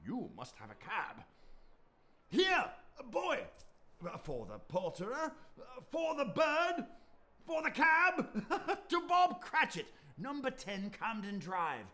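A giddy old miser turned generous, his voice high, bright and ringing. He draws out his words in an unhurried way and swings his pitch all over, bubbling with delight. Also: wildly swooping pitch, heavily acted. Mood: gleeful. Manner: exuberant, chuckling, sweeping pitch. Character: Scrooge, reformed old miser, gleeful and giddy. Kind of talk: stage radio play acting.

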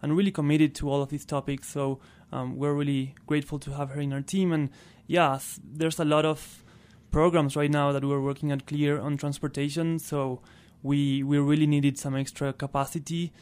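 A man's voice: on the high side, little pitch movement, normal speed, hardly any pauses, a little mellow, clean tone. A man from Argentina, a native Spanish speaker with an Argentine accent, talking as a guest in a casual radio interview. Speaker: interview guest, clean transportation programme worker.

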